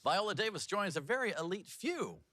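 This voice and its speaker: A man's voice: sits high, sing-song, normal speed, background noise. A man anchoring local TV news with a joking, bantering manner. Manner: jokey, joshing. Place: TV studio.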